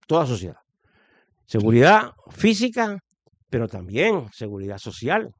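A man's voice: medium pitch, sing-song, unhurried, no echo. An older man with a Puerto Rican accent, talking politics as a guest in an interview, emphatic and full of restarts and repeated points. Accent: Puerto Rican Spanish. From Puerto Rico. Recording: dull lo-fi sound.

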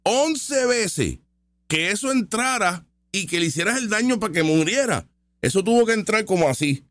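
A man rants sarcastically as he comments live on clips. His pitch sits fairly high and jumps around a lot. The sound is dry and close, with no room around it. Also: expressive, moderate pace, a bit bright, dry recording. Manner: sarcastic, heated and argumentative. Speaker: online commentary host.

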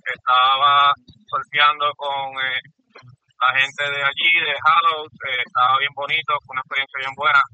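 A man's voice: mid-range voice, flat delivery, normal speed, bright, forceful tone, clean tone, no echo. Man on a dull dry phone line. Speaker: phone guest. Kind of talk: phone interview. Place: outdoors near a beach.

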